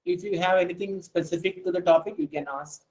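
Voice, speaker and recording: A man in his thirties, a scientist giving an online lecture and answering questions, speaking haltingly with many fillers over a headset on a video call. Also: high voice, steady tone, darkish tone, rough recording. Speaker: fisheries scientist giving a webinar talk.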